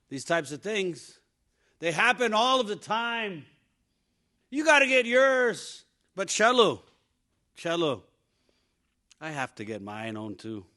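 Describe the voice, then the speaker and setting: Slow, bright, theatrical male reader. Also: a little high. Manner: theatrical, swelling and dropping. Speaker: news reporter reading lyrics. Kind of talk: dramatic poetry-style reading.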